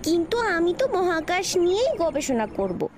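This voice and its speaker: A woman's voice: extremely high pitch, big pitch swings, normal speed, slight background noise. A woman playing a curious, eager twelve-year-old girl in an audio story, earnest and inquisitive.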